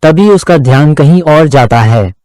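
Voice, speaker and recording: A man's voice: on the high side, animated, measured pace, a little mellow, no room sound. A man narrating a scripted moral story for a children's cartoon.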